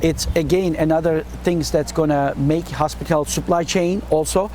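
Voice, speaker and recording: A man from Turkey with a Turkish accent, a hospitality dean answering quick interview questions earnestly and often repeating words as he searches for phrasing. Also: a little high, steady tone, a little mellow, slight background noise.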